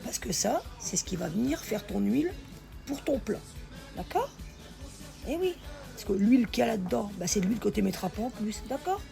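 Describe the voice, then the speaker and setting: Hoarse woman, chatty cook. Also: a little high, expressive, fairly quick, dark tone. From northern France. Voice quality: gravelly. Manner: chatty, familiar, calls the viewer pet names. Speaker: home cook. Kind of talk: casual cooking vlog chat. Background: background music.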